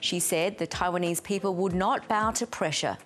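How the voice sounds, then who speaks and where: A woman presenter reading a scripted news report in a measured, formal way. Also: fairly low pitch, a little hiss or hum.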